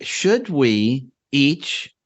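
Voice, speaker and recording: A man's voice: mid-range voice, very animated, very slow, dull audio. A man hosting a podcast panel in casual, conversational talk. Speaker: podcast host.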